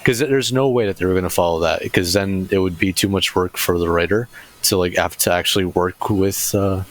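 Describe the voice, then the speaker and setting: A man's voice: sits low, a little fast. A man co-hosting a podcast, chatting casually with blunt, joking, sweary remarks. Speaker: podcast co-host. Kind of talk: casual chat.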